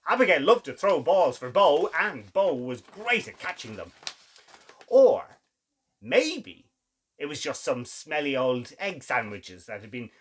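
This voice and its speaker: A man hosting and reading children's stories aloud in a theatrical, exclamatory way with dramatic pauses. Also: fairly high pitch, very animated, a bit bright, breathy edge. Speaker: children's story reader, show host. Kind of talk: animated children's storytelling.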